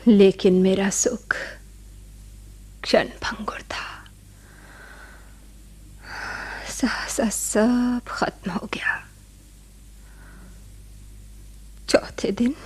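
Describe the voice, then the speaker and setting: A bereaved woman in a radio drama, telling her tragic past. Her voice is soft-edged, mellow and breathy, and she moves slowly through her sorrow. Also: medium pitch. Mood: grief-stricken. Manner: slow, sorrowful, breathy delivery. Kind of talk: dramatic radio play narration.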